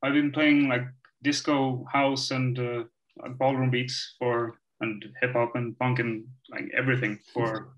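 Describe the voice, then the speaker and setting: A man from Sweden speaking as a guest DJ, pausing and hesitating between his words. His voice comes through a dull, lo-fi recording at an ordinary middle pitch. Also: little pitch movement. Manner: hesitant, fillers. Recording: dull lo-fi sound.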